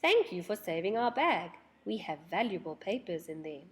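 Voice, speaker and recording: A grave, courteous bearded elf leader from a storybook, read by a woman. He speaks politely and with measured solemnity, at a middling female pitch. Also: very animated, lightly acted. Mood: grateful.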